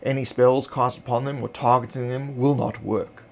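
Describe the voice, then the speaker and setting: A man reading scripted descriptive narration for a fantasy roleplaying guide in a measured, explanatory way. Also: mid-range voice, fairly even intonation, creaky.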